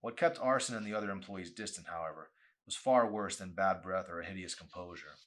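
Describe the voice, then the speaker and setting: A man's voice: deep voice, a little fast, a bit bright. A man reading a novel aloud as a dramatic audiobook, with an intense, theatrical delivery.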